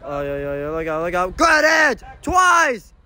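Man, high-pitched, very animated, bright tense voice, amateur match commentary, sideline phone recording, faint background noise. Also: unhurried. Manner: animated, shouting at the referee and players. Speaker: sideline commentator and teammate filming. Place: indoor sports dome, large. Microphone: phone camera held by the speaker.

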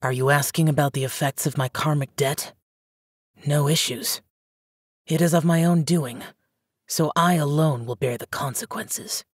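A woman playing an aloof young male immortal demon hunter in a video game, speaking in a terse, solemn, formal way with a husky voice. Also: sits low, steady tone, normal speed, no room sound, studio quality. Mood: stoic. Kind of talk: English video game character dialogue.

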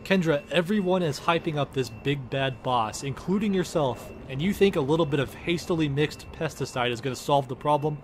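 A man's voice: a little high, fairly quick, hardly any pauses, a little hiss or hum, lightly acted. A man voicing a sarcastic, foul-mouthed space engineer in a comedic game parody, blunt and exasperated.

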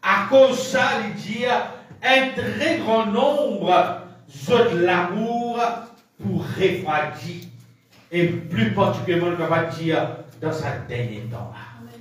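High-voiced Mauritian preacher in an echoey room. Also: male voice, animated, slow pace. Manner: rhythmic preaching in short repeated phrases, with gestures. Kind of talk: sermon. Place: small room with a draped pulpit, indoors. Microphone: camera at a distance across the room. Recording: echoey room picked up from far off.

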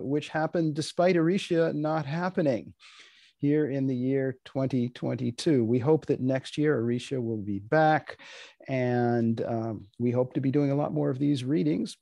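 A man hosting and wrapping up an event in a measured, deadpan way. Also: mid-range voice, creaky, no echo, studio quality. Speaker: host, moderator. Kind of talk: deadpan hosting and closing remarks. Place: indoors on a video call.

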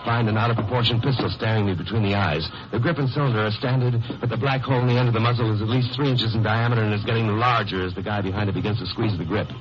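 An American actor playing a wry, hard-boiled lawyer-sleuth, sardonic and tongue-in-cheek, in a 1950s radio crime drama. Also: male voice, fairly low pitch, rapid-fire, few pauses, music in the background, close to an everyday voice. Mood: sardonic narrating. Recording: muffled, noisy 1950s radio recording.

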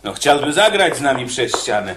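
An actor in a radio play playing a cocky condemned prisoner who chats wryly and casually about death. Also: male voice, medium pitch, big pitch swings, brisk, very noisy, lightly acted. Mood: wry, matter-of-fact. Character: cocky, cheerful condemned male prisoner, a killer.

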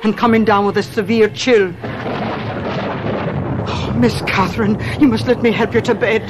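A woman's voice: medium pitch, fairly even intonation, normal speed, very noisy, natural voice. An actress playing a motherly, fussing housekeeper in a radio drama. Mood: soothing.

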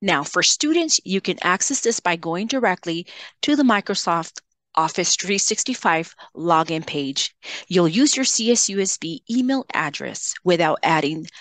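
Low-pitched woman giving a software tutorial. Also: expressive, unhurried, no room sound. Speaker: software trainer. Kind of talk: instructional screen-share tutorial.

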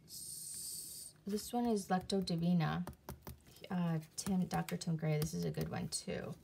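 A woman casually showing her CDs and reading their back covers aloud, halting often with fillers and restarts, recorded on a phone camera above a table indoors. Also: on the low side, fairly even intonation, gravelly, warm tone, slight background noise. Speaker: video creator reviewing CDs. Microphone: phone camera filming her hands on a table from above.

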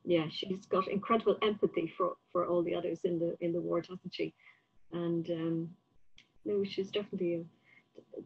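A woman's voice: lowish voice, slightly rough. An older woman hosting an interview conversationally, feeling her way hesitantly through her sentences, recorded on a video call. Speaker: interviewer, festival host. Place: indoor room with bookshelves. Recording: slightly dull video-call audio.

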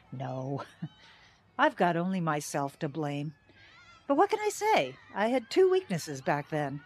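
A woman's voice: deep voice, big pitch swings, slow pace, long pauses, full character voice. An actress playing a bitter middle-aged small-town teacher and mother, giving wry, theatrical testimony in an audio drama.

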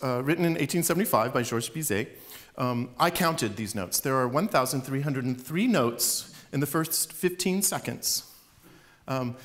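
A middle-aged man, an opera professor, giving a relaxed, chatty public lecture from a concert hall stage, with fillers and small laughs. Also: medium pitch.

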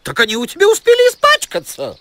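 A bright, high cartoon owl lady of mature years acted by a man. She is fussy and motherly. Her lecturing swings up and down in pitch. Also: wildly swooping pitch, fast talker, no echo, exaggerated voice. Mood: scolding. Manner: scolding, instructive, caring. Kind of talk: animated cartoon dialogue.

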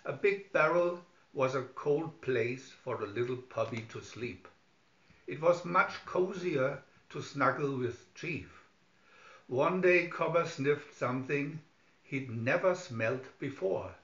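A man's voice: mid-range voice, a bit bright. A man narrating a scripted children's story as a storybook reader. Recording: dull lo-fi recording, roomy echo.

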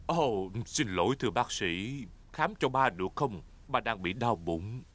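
A man's voice: on the low side, animated, a little slow, a bit bright, faint music behind. A man voicing a cheerful, goofy, affectionate father pig in a dubbed children's cartoon. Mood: playful, easygoing.

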